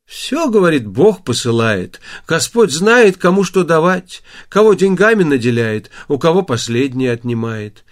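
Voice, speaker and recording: A male storyteller plays a humble, devout peasant worker in a fairy tale. His fairly high voice lilts expressively with earnest, pious reflection. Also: big pitch swings, normal speed, hardly any pauses. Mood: humble, resigned. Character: humble devout young male farm labourer.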